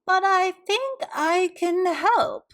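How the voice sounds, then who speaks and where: A woman voicing a kind, sweet old woman in a children's storybook, with drawn-out, deliberate phrasing. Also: very high voice, animated, a bit bright, pitched above the performer's own voice, exaggerated voice. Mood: bright, helpful. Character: kind, plump, sweet old woman, gently cheerful helper.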